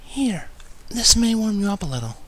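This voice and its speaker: Man putting on a soft, high, timid girl pony. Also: big pitch swings, moderate pace. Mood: sympathetic cooing. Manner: soft, cooing, hesitant. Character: timid, tender young female pegasus pony.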